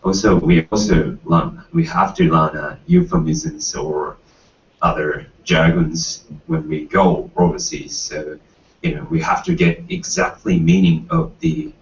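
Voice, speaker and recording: A very deep, gravelly man talks slowly, his pitch swinging widely as he searches for words with many "you know"s. He is heard from afar in an echoey room, the sound dull. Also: sing-song, distant mic. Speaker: student panelist. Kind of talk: panel answer. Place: conference room.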